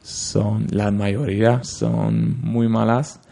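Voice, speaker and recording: A young man with a German accent, speaking Spanish hesitantly, pausing often to find his words. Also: on the low side, flat delivery, vocal fry, mellow. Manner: hesitant, searching for words with many ums. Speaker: interviewee, intern.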